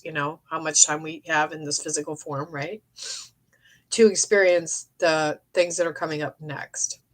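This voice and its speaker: A middle-aged woman with a cold, losing her voice, chatting in a meandering way on a live stream and often clearing her throat. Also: low voice, fairly bright tone. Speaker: psychic medium streaming live. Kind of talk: rambling live-stream chat. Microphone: phone or webcam for a live stream.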